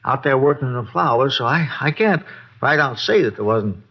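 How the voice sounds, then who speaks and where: A man's voice: medium pitch, fairly quick. An actor playing a folksy, boozy older American man who rambles and repeats himself in an old radio drama. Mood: evasive, rambling. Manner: rambling, repetitive, folksy, excitable. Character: rambling, boozy, folksy male neighbor witness. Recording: dull, lo-fi old radio recording.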